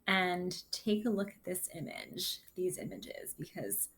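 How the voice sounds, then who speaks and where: A woman teacher giving a read-aloud lesson for children, reading a picture book with gentle, deliberate, expressive phrasing and her own commentary. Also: lowish voice, low-quality recording. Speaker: sixth grade teacher.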